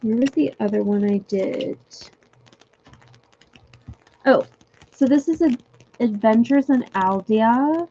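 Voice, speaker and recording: Mellow chatty woman, slightly dull audio. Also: on the high side, animated, slow, pauses often. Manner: chatty, giggly, lots of fillers. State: losing her voice. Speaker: livestream host. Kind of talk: casual livestream chat with viewers. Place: home room.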